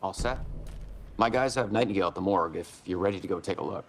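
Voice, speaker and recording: A matter-of-fact small-town lawman in a video game. His voice is low and his delivery quick and dry, with faint ambient noise around him. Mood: brisk.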